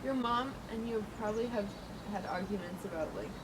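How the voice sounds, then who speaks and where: A woman interviewer asking casual questions, halting and full of fillers. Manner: hesitant, filler-laden questions. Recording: noisy with low rumble.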